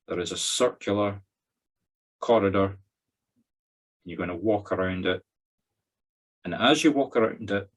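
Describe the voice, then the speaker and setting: A Scottish man leading a guided meditation as a spiritual medium, giving instructions in short phrases with pauses between them. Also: sits low. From Scotland.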